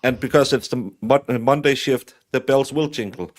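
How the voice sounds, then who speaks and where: A man's voice: medium pitch, moderate pace, dry recording, clean studio sound. A man as a guest on a casual livestream, making bawdy jokes in rambling, restarting sentences.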